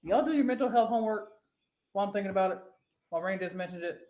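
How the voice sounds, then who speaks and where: A woman's voice: medium pitch, very fast, warm tone, reverberant. A woman co-hosting a casual live show, reading out viewer comments in a rambling, profane way and laughing easily. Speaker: co-host.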